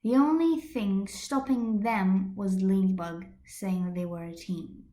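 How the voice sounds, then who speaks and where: A woman's voice: mid-range voice, measured pace, dark tone, roomy sound. A woman reading a fan story as an amateur narrator, recorded on a computer's built-in microphone. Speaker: amateur podfic reader.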